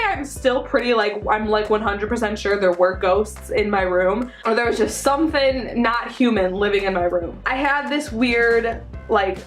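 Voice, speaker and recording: A young woman with an American accent chats like a vlogger sharing personal stories. Her voice sits fairly high and her delivery is casual and emphatic, strewn with "like". Soft music runs behind her. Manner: animated, emphatic, peppered with "like". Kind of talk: casual, chatty personal storytelling.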